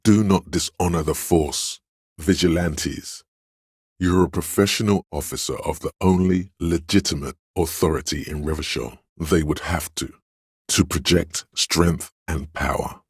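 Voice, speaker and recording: An actor playing a stern, proud inner voice of authority in a video game, narrating in a grave, measured, commanding manner. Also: male voice, very deep voice, breathy edge, dry recording, studio quality, full character voice. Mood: grave, authoritative. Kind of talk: dramatic game narration in second person.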